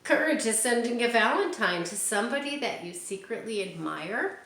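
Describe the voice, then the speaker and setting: A woman reading a picture book aloud to children, stopping often for gentle, chatty asides. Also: on the high side, animated, moderate pace. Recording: echoey room with faint hiss.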